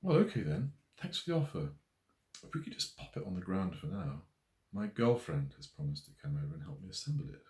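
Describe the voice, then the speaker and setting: A man's voice: low voice, big pitch swings, brisk, a little mellow. A man voicing a shy, resentful single woman in an audiobook, polite, hesitant and guarded. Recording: roomy, echoey voice recording.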